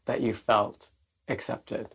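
A man's voice: fairly low pitch, flat delivery, takes it slowly, creaky, husky. Canadian man hosting an interview. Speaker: podcast host and interviewer. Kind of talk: podcast interview.

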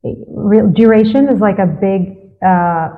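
A young woman from Greece answers interview questions in a relaxed, considered way, often pausing with fillers as she thinks. Her voice sits in a middle range and comes through a narrow, tinny line like a phone call. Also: mid-range voice. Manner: thoughtful, pauses with fillers before answering. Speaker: guest. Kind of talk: casual interview chat.

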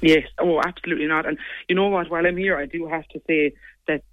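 A young Irish woman with a low voice, chatting quickly as a singer guest in a casual interview. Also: fairly even intonation, no room sound, muffled recording. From Ireland. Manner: quick, chatty, lots of "like" and "you know".

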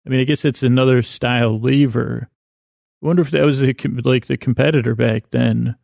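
A man hosting a podcast with a rambling, comedic bedtime monologue, halting and often interrupting himself. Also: mid-range voice, fairly even intonation, darkish tone, dry recording, studio quality. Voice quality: creaky, gravelly. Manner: halting, meandering, self-interrupting. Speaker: podcast host.

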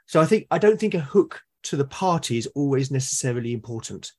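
British guy chatting thoughtfully, bit husky, keeps restarting his explanations. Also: mid-range voice, expressive, a little mellow. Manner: halting, thoughtful, self-correcting explanations. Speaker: podcast guest or co-host, tabletop game master. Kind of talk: casual reflective conversation about roleplaying games.

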